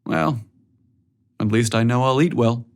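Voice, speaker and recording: A man's voice: medium pitch, measured pace, gravelly. A man playing a good-humored, reluctant young man in a narrated story, with a wry manner. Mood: wry, delighted. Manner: sighing, wry.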